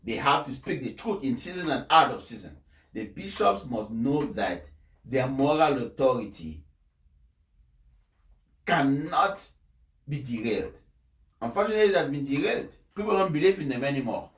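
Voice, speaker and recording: Male speech reader and commentator, emphatic and deliberate delivery, mid-pitched voice in an echoey room. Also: big pitch swings. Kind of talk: formal read-aloud speech, then impassioned personal commentary. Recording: echoey, reverberant room sound.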